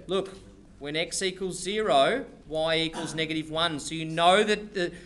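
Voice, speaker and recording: A man teaching maths to a class, in a high, bright voice. He is chatty and playful, with jokes and restarts along the way. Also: moderate pace. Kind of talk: classroom lesson, joking asides.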